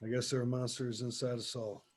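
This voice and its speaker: A man's voice: medium pitch, little pitch movement, brisk, lightly acted. A man playing a blunt, fatalistic, cynical character in a horror script reading. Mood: weary, resigned.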